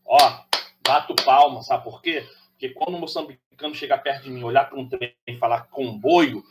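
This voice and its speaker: Emphatic preachy Carioca man. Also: fairly high pitch. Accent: Carioca Brazilian Portuguese. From Rio de Janeiro, Brazil. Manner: preachy, emphatic, rhetorical questions. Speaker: channel host. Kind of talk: opinionated monologue to camera.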